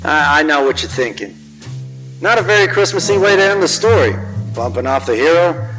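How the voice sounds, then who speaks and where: A man plays a hard-boiled private eye for a noir radio play, his voice pitched on the high side. He is tough and wry, a weary wisecracker full of slang. Long reverb surrounds him, with music underneath. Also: animated, brisk, a bit bright. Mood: wry, confiding. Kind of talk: noir radio drama narration and dialogue.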